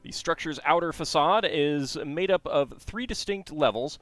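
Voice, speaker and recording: A man's voice: fairly high pitch, measured pace, fairly bright tone, no room sound, soft background music. A man casually chatting to his viewers while building a Lego set, reading facts aloud and talking to chat.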